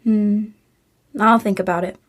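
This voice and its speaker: A woman's voice: medium pitch, steady tone, measured pace, close to an everyday voice. An actress playing a troubled, grieving daughter in an audio drama, a young woman with a guarded, moody way of talking. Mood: guarded, uneasy. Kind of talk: naturalistic audio drama acting.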